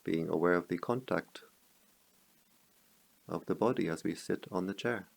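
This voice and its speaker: A man guiding a meditation as a teacher, speaking calmly in measured short phrases with long pauses. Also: deep voice, vocal fry. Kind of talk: guided meditation.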